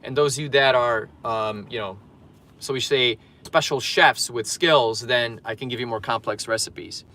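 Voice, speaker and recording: Upbeat, bright-voiced Polish-born fitness coach. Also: male voice, mid-range voice, normal speed. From Poland. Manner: chatty, upbeat, reads viewer comments aloud. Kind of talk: casual livestream chat while driving. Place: in a car in traffic.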